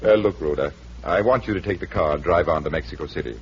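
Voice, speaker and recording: An actor playing a resolute magician detective, firm and theatrical in 1940s radio drama style. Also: male voice, deep voice, fast talker. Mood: calm, guarded. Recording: muffled vintage radio, faint noise.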